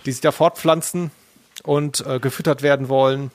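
A man's voice: mid-range voice, fairly even intonation, normal speed, no echo. A man co-hosting a podcast, chatting casually. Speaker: podcast co-host. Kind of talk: casual chat.

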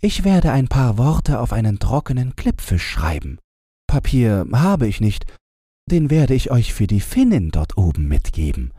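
A man's voice: medium pitch, huge pitch swings, a little slow, mellow, breathy edge, dry recording, clean studio sound, pitched above the performer's own voice, full character voice. A man voicing a kindly, sympathetic old Lapland woman in a fairytale audiobook. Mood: pitying, helpful. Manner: sympathetic, advising.

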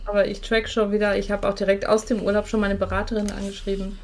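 A woman's voice: mid-range voice, completely flat delivery, quick pace, a little hiss or hum. A woman co-hosting a casual chat podcast, getting over a cold, talking in a blunt, matter-of-fact way. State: leftover cold. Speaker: podcast co-host.

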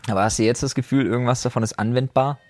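A man's voice: medium pitch, fairly even intonation, rapid-fire, a little mellow, no echo. A man co-hosting a casual podcast discussion, talking in a blunt, emphatic and colloquial way. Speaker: podcast co-host. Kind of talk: casual discussion among friends.

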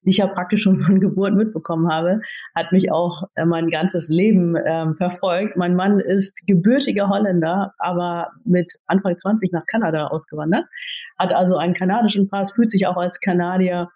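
A woman in her forties, born in the Philippines and raised in Germany, answering interview questions in a measured, thoughtful way with frequent fillers. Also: lowish voice, steady tone, a little mellow, breathy edge. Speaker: podcast guest, tech executive.

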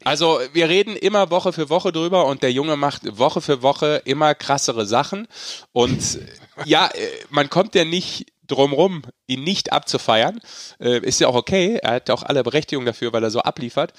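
A man's voice: fairly high pitch, lively intonation, moderate pace, no echo, clean studio sound. A man chatting casually about sport as a podcast co-host, in a colloquial, anecdotal way.

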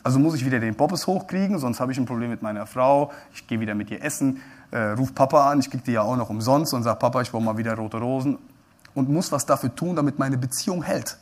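A man's voice: mid-range voice, fast. A man giving a live keynote talk on a congress stage, joking and putting questions straight to the audience. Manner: lively, joking, addresses the audience directly with questions. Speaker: keynote speaker at a brokers' congress.

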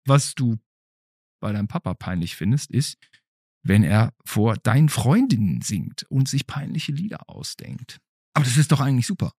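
A man's voice: medium pitch, big pitch swings, moderate pace, a little mellow, slightly rough, no echo, clean studio sound. A man hosting a podcast, chatting casually and playfully as he interviews a child. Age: adult. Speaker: podcast host.

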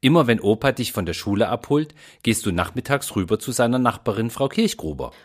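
A husky father's voice acted by a man with very lively intonation. He is practical and skeptical, questioning and explaining in a children's radio play. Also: mid-range voice, brisk. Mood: reassuring.

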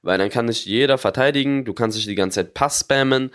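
Co-host, a man of average pitch, excited and rambling about gameplay. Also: a little fast. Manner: enthusiastic gamer talk, fillers and restarts. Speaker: podcast co-host. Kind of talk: casual podcast chat.

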